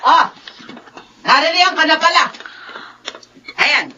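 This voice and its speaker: A woman's voice: high-pitched, takes it slowly, dull audio, slight background noise, full character voice. Harsh, piercing old grandmother. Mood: disgusted, berating. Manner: sharp, berating, indignant. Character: harsh, scolding, nagging elderly Filipina grandmother. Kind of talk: Filipino radio drama acting.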